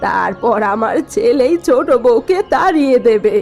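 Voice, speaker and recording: A woman voicing a scheming middle-aged mother-in-law in a cartoon story, talking in a sharp, dismissive way. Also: a little high, animated, normal speed, gravelly, soft background music. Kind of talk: cartoon voice acting.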